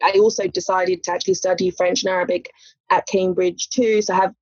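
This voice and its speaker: A woman's voice: on the low side, level tone, fairly quick, no room sound. A woman, a lawyer talking as a podcast guest in a chatty way, with light laughs and many "you know" fillers. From England. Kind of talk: casual interview conversation. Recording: muffled, dry podcast audio.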